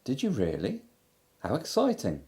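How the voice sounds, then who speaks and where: A man voicing a kind young boy who speaks excitedly and eagerly. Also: medium pitch, moderate pace, voice pushed up.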